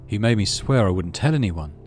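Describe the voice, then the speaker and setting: A man's voice: fairly low pitch, animated, a little fast, darkish tone, soft background music, lightly acted. Man voicing a flustered, shy teenage boy. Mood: earnest. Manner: stammering, mumbling.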